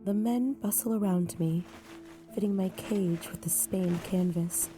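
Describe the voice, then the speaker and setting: A woman giving measured, brooding first-person narration in an audio drama. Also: medium pitch. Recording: music bed behind.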